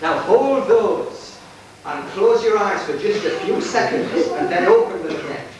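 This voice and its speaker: A man's voice: high-pitched, sing-song, a little slow, highs cut off. A man playing a feisty, indignant madam in an amateur church pantomime, exclaiming at others. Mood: bossy. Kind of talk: amateur pantomime stage acting. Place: church hall stage, recorded from afar. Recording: very echoey hall, distant, loud audience and noise.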